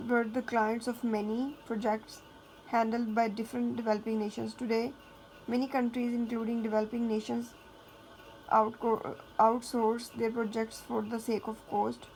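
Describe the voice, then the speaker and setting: A woman teacher giving a lecture, talking casually and checking in with her students, then reading English passages slowly. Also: on the high side, darkish tone, a little hiss or hum, poor audio. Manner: frequent checking fillers. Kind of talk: classroom lecture mixing Hindi and English.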